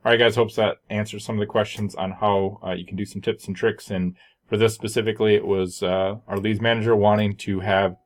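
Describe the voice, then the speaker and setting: Male tutorial presenter, low-pitched and very flat in delivery, matter-of-fact, informal screen-share walkthrough style. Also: a little fast.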